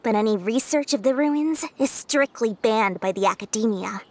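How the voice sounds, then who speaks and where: A woman's voice: on the high side, animated, moderate pace, a little mellow, a little hiss or hum. A woman voicing a small, shrewd, money-loving female merchant in a video game, with wheedling, theatrical sales patter. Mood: sly, knowing. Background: music.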